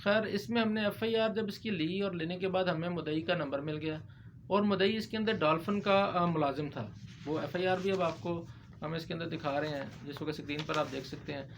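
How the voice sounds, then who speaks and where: A man's voice: on the high side, fairly quick, vocal fry, slight background noise. A man with a Pakistani accent giving an informal legal explanation to viewers as a lawyer, mixing in English legal terms.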